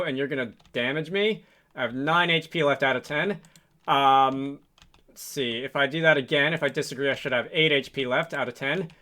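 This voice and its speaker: A man hosting a casual programming tutorial, thinking aloud in a halting, hesitant way as he works through code on screen. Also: fairly high pitch, pushed and bright. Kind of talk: casual screen-recorded coding walkthrough.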